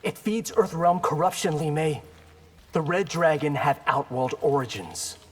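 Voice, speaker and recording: A man's voice: fairly high pitch, a little slow, slight background noise, lightly acted. A man playing a wry, self-assured young crime fighter in a fighting game, dry and defiant, in cavernous reverb. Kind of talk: fighting game dialogue acting.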